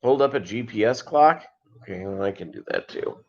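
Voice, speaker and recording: A man's voice: fairly low pitch, animated, normal speed, dull audio. A man hosting a casual livestream, rambling along as he reads out and answers viewer comments. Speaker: livestream host of a fishkeeping channel. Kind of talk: casual live chat with viewers.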